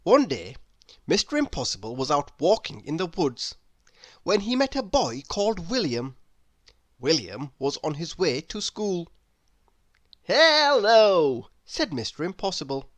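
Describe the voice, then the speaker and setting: A woman's voice: low voice, very animated, moderate pace, no echo. A woman reading a children's story aloud as narrator. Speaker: storybook reader.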